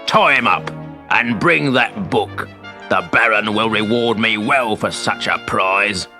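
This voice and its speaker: A man voicing a menacing toad captain in a children's audiobook, gruff and bossy with a croaking, gravelly voice. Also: lowish voice, takes it slowly, music in the background. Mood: threatening, commanding. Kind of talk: audiobook character voice.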